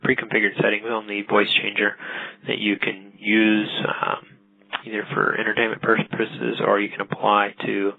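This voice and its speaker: Gravelly man giving a halting demo. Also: on the low side, no echo, narrow, tinny audio. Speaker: product demonstrator. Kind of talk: casual product tutorial narration.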